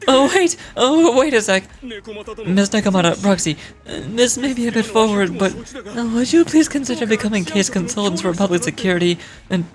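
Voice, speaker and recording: A woman's voice: medium pitch, animated, normal speed, pitched below the performer's own voice, close to an everyday voice. A woman voicing an anxious, eager young male police officer in a video game, stammering and earnest. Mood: earnest pleading. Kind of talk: video game dialogue read aloud in character.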